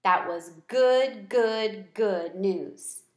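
A woman voicing a small, eager male pet hamster from a children's storybook, exclaiming excitedly. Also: mid-range voice, very slow. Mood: pleased. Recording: roomy home recording.

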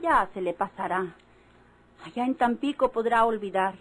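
A woman's voice: fairly high pitch, moderate pace, fairly bright tone, low-quality recording. An actress playing an emotional, pleading Mexican mother and wife in an old-time radio melodrama. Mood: gentle pleading. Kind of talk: golden-age radio drama acting.

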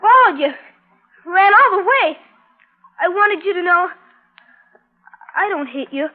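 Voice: extremely high pitch, expressive, unhurried. A performer playing an earnest, devoted young boy who pleads in a 1950s radio drama. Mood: earnest, anxious. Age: child. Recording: dull old radio recording with music.

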